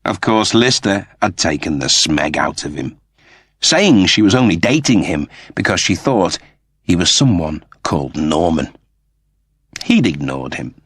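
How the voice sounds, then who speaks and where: A man's voice: low voice, sing-song, a little slow, a bit bright, slightly rough, dry recording, studio quality. A man narrating scripted science fiction as a dramatic audiobook reader.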